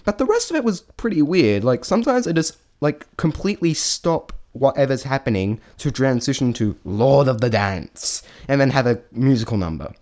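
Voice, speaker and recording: A man's voice: medium pitch, big pitch swings, normal speed, few pauses, a little mellow. A man giving an unscripted spoken review in a rambling, hesitant way, often stammering and breaking off mid-thought. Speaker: amateur reviewer sharing opinions.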